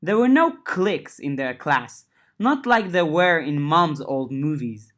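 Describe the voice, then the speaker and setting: A woman with a notably low voice reads a chapter of a serial story aloud. She narrates steadily and raises her pitch when characters speak. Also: lively intonation, unhurried. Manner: reads dialogue with lifted pitch, narration lower and softer. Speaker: audiobook narrator. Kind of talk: audiobook reading of a web serial.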